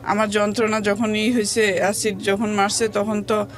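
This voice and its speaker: A woman's voice: medium pitch, level tone, normal speed. A woman with a colloquial Bangladeshi accent giving personal testimony as an interview guest, plainly recounting events and quoting what others said. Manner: plain colloquial telling, quotes others' words. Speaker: interview guest telling her life story. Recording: music under the voice.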